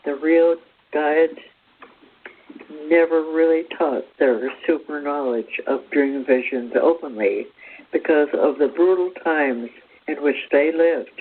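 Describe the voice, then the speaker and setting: Slow, higher-voiced man on a phone-like line. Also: breathy edge. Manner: measured, deliberate phrasing in short pieces. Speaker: presenter. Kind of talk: slow read-out spiritual teaching. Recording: thin phone-like radio sound.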